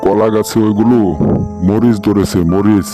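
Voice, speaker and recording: A man voicing a gruff, short-tempered, bossy tiger uncle in a comic cartoon. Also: lowish voice, level tone, moderate pace, music in the background, lightly acted. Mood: evasive, irritated.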